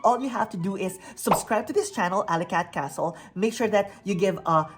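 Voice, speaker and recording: A woman's voice: mid-range voice, brisk, over music. A woman in her forties hosting a beauty unboxing vlog, bubbly and upbeat, reading product labels aloud from her home. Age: 40s. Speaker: beauty vlogger. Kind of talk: unboxing review. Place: home near a busy street.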